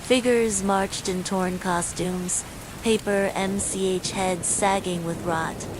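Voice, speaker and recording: A woman reading a scripted bedtime horror story in a measured, suspenseful way, as a storyteller. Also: medium pitch. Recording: steady loud rain noise throughout. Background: falling rain.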